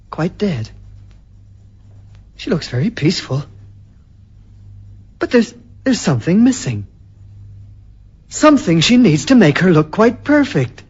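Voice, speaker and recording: An actor playing a mild, hesitant husband turned unhinged strangler in a radio drama, with a husky, stammering voice. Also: male voice, high voice, a little mellow, heavily acted. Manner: hesitant, stammering, repeating words. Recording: dull lo-fi radio recording with music.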